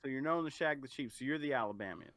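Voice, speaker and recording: A man from the American South co-hosting a podcast, cracking jokes and telling quick stories. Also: medium pitch, animated, fairly bright tone. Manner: joking, storytelling asides. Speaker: podcast co-host. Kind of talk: casual podcast chat.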